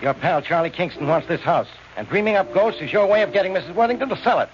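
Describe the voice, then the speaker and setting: An actor playing a gruff, impatient, suspicious male police inspector in 1940s radio style. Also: high-pitched, expressive, fast talker, a little hiss or hum. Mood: bossy, threatening. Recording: dull old radio recording.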